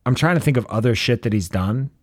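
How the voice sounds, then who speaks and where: A man's voice: medium pitch, quick pace, no echo, studio quality. A man co-hosting a podcast, chatting casually with plenty of swearing and an opinionated, ranting manner. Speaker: podcast co-host. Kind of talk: casual, profane film and TV chat.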